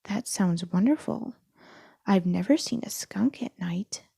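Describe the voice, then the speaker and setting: A woman's voice: mid-range voice, expressive, a little slow, gravelly, mellow, husky, dry recording, clean studio sound, heavily acted. A woman voicing an eager little boy kangaroo from a storybook, with a childlike, pleading way of talking. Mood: curious, eager.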